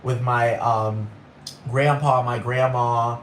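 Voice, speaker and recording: A young man with a Puerto Rican accent rants alone into the mic, rambling and swearing, always checking whether you follow him. His voice sits at an ordinary middle pitch. The room sounds echoey, with a faint hum of ambience behind him. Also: fairly even intonation, takes it slowly. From Puerto Rico, raised in Texas. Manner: rambling, profane, keeps asking "do you understand". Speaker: podcast host. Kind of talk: solo podcast rant.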